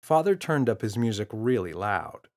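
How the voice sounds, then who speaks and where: Animated man narrating a children's story. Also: lowish voice, moderate pace. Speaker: author reading an audiobook.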